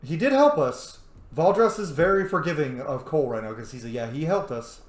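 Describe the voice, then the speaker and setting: A man streams a roleplaying game with casual, joking commentary. His voice is fairly high and very lively, full of slangy reactions. The room around him sounds echoey. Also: fairly quick.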